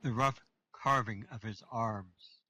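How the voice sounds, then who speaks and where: A man's voice: on the low side, fairly even intonation, takes it slowly, bright, forceful tone, exaggerated voice. An actor reading the part of a rueful young man in a radio play.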